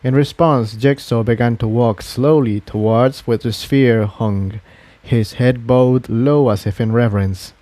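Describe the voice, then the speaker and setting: Mellow male story narrator, dry sound. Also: mid-range voice, steady tone, a little slow, no room sound.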